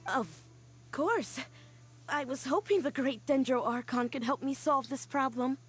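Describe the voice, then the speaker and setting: A wistful young scholar. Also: female voice, high voice, measured pace, husky. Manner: quiet, earnest storytelling, sighs often. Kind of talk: game cutscene voice acting.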